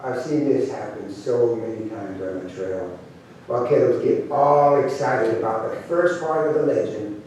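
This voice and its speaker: Man as a quiet old trail cook, echoing. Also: on the low side, voice pushed down, lightly acted. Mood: calm, solemn. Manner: slow, quiet, deliberate. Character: older, wise, calm cowboy trail cook. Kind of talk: live cowboy storytelling. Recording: very echoey room, distant mic, faint noise.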